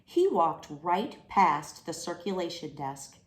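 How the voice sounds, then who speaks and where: An echoey room surrounds a woman reading a children's storybook aloud. Her delivery is slow and deliberate, with very lively, dramatic swings in pitch. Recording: roomy, echoing room sound.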